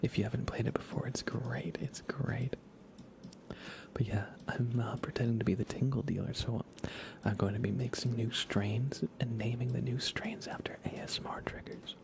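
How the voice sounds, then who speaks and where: A man whispering softly and playfully close to the microphone, giving relaxed ASMR commentary while he games and chats with viewers. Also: mid-range voice, moderate pace. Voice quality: soft, breathy whisper. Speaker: live streamer. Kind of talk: whispered ASMR game stream commentary with chat.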